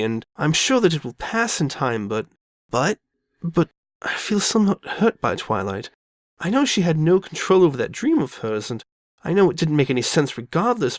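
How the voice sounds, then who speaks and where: An elegant, genteel mare acted out by a man speaks with refined, theatrical poise. The pitch sits fairly high and swings expressively through formal, tender-hearted phrasing. It is a cartoon-pony drama, recorded close and dry. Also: no echo. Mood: hurt, delicate. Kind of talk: dramatic fan fiction reading.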